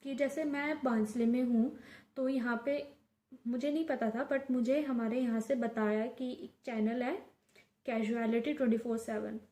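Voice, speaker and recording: A woman's voice: a little high, fairly even intonation, a little slow, dark tone. A young woman from India giving chatty, casual advice in a vlog, mixing in English words and often restarting her sentences. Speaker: vlogger. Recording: echoey room.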